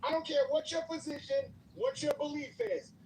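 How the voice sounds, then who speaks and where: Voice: high-pitched, fairly even intonation, moderate pace, a little mellow, reverberant. An irritated, worked-up speaker arguing insistently and repetitively in a heated group debate, with swearing. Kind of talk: heated debate in a live online group audio discussion. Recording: distant mic, noticeable background noise.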